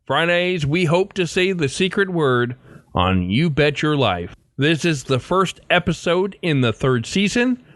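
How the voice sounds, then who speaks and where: Male podcast host, slightly high voice, scripted intro read in a dry, close recording. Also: big pitch swings, unhurried, a bit bright, dry recording.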